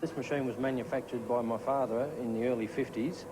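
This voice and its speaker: A man's voice: medium pitch, steady tone, brisk, low-quality recording. A man with an Australian accent giving unscripted answers in an interview. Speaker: interviewee, jukebox business owner. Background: music.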